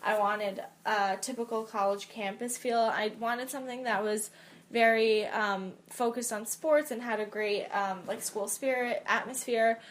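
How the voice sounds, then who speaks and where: A young woman student, born in Poland and raised in Pennsylvania, chatting easily about her choices in an interview. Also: on the high side. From Poland, grew up in Pennsylvania. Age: young adult. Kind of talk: casual interview.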